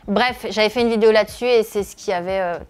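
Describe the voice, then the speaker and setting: A woman's voice: mid-range voice, fast, clear, resonant tone, faint music behind. A woman in her thirties from northeastern France, a comedian answering interview questions, hesitant with many filler sounds. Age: 30s. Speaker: comedian and video creator.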